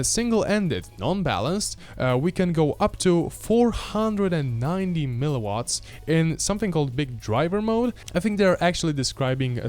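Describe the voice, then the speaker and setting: A man's voice: fairly high pitch, a little mellow. A man, giving a casual, blunt and slangy product review into a handheld microphone. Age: 20s. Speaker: gadget reviewer. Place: small home room studio, indoors. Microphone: handheld microphone held close.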